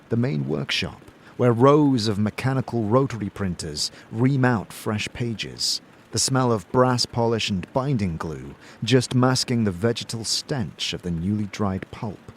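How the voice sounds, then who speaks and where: A man's voice: medium pitch, animated, unhurried, a little hiss or hum. A man reading scripted, dramatic narration as the narrator of a fantasy audio series.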